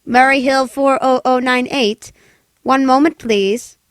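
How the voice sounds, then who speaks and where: A woman's voice: high voice, fairly even intonation, slow pace, dry recording, studio quality. A woman playing a polite, unruffled telephone operator in a 1940s-style radio play, clipped, formal and courteous.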